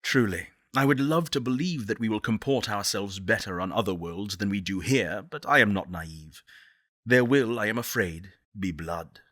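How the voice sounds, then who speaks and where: A man playing an earnest, eloquent space-program director in a video game, speaking in measured, deliberate oratory. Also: on the low side, fairly bright tone, no room sound, clean studio sound. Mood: grave warning. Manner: articulate, deliberate, solemn.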